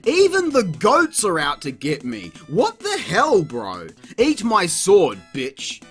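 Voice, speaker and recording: A man's voice: sits high, huge pitch swings, slow pace, a bit bright, slightly rough, soft background music. A man giving comedic, partly scripted video review commentary in an exclamatory, sarcastic, crude way. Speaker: video game reviewer, host.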